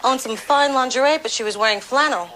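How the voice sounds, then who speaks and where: A woman playing a brisk, shrewd police detective in a crime audio drama, dry and matter-of-fact. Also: high-pitched, bright, forceful tone, slight background noise, low-quality recording. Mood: brisk, analytical.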